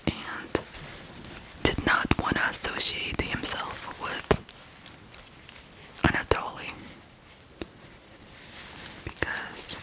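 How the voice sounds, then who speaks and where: Slow whispering ASMR storyteller from Ukraine. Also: poor audio. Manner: soft, deliberate, pausing between short phrases. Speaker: ASMR true-crime host.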